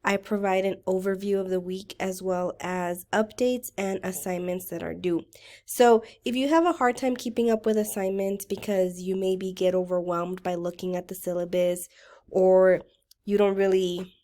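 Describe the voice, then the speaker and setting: A woman college writing instructor, still getting over a cold, explains how to navigate her course in a relaxed, conversational way. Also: medium pitch. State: voice rough from a recent cold. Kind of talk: recorded course walkthrough lecture.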